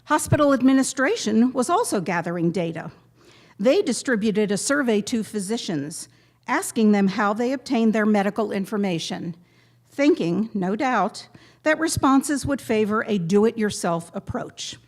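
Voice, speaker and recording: A woman giving a prepared memorial tribute to a gathering, speaking in measured, deliberate phrases with pointed pauses. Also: medium pitch, lively intonation. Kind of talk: prepared eulogy read aloud to a gathering.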